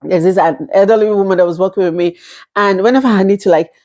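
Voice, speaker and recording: A woman's voice: mid-range voice, fast, darkish tone. A woman in her thirties from Nigeria with a Nigerian accent, talking casually to camera, chatty, laughing often and acting out little quoted exchanges. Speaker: vlogger.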